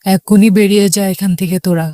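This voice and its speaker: A woman's voice: medium pitch, fairly even intonation, fast talker, dark tone, no echo, clean studio sound, lightly acted. A woman voicing a haughty, quarrelsome neighbour aunt in a children's cartoon, scornful and indignant. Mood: furious.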